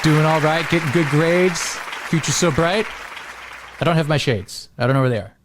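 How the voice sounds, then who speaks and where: A man's voice: fairly high pitch, normal speed, over a noisy crowd, very noisy. A middle-aged man hosting a podcast in a casual, slangy, chatty way. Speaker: podcast host.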